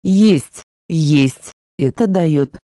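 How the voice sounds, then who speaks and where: A speaker slowly repeating short phrases for a language lesson, with careful, deliberate pronunciation. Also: mid-range voice, mellow, dry recording, clean studio sound. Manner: slow, deliberate enunciation. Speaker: Russian phrase reader. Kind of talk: slow scripted phrase reading.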